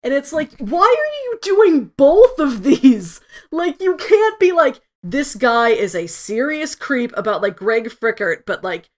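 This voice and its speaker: A woman's voice: high voice, sing-song, a little slow, hardly any pauses. A woman co-hosting a review podcast, chatting casually in an informal way with plenty of filler likes. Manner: informal, peppers talk with "like". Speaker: podcast co-host.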